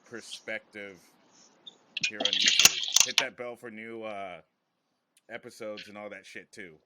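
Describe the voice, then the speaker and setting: A man's voice: on the low side, fairly even intonation, unhurried, very noisy. A man hosting a podcast, chatting casually and informally with some swearing. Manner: informal, profane. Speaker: podcast host.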